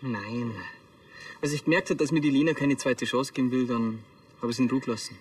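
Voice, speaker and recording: A man's voice: medium pitch, fairly quick, recorded from a distance, lightly acted. An actor playing a defensive jilted man protesting his innocence in a TV crime drama. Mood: earnest, defensive. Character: defensive jilted male suspect pleading innocence. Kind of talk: naturalistic TV drama acting.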